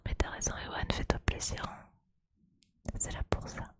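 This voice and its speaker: A woman whispers breathily and playfully, chatting casually as an ASMR streamer close to the microphone. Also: normal speed.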